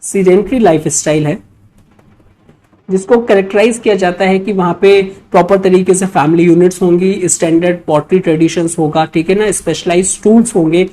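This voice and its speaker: A woman's voice: on the low side, mellow, poor audio. A woman with an Indian accent lecturing as an exam-prep teacher, explaining points step by step and often checking in with short tags. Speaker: history teacher for exam preparation. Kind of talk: explanatory lecture working through quiz questions.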